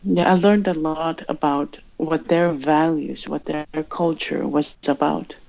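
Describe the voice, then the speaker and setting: A woman from Greenland whose first language is Greenlandic, speaking English slowly and thoughtfully, searching for words as an interview guest. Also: deep voice, steady tone, vocal fry, a little mellow. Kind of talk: reflective interview answers. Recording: dull lo-fi sound.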